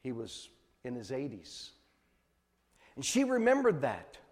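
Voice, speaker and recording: A man preaching a sermon as a missionary teacher, emphatic, repeating phrases for weight and swinging between hushed line endings and loud stresses. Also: medium pitch, huge pitch swings, a little slow, in a large hall. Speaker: missionary preacher and Bible translation trainer.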